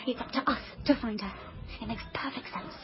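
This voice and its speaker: A British actress playing a self-important, overconfident amateur sleuth, narrating a radio comedy drama in melodramatic hardboiled style. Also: female voice, fairly high pitch, a little fast, highs cut off, close to an everyday voice. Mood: grandly determined. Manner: melodramatic, pompous. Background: prominent music.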